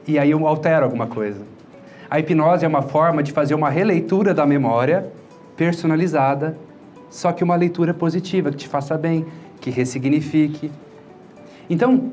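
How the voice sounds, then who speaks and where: Male Brazilian instructor, somewhat higher voice, didactic question-and-answer lecture delivery, light music bed underneath. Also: darkish tone. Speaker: hypnotherapist and instructor. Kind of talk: instructional lecture explaining a method with examples. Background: background music.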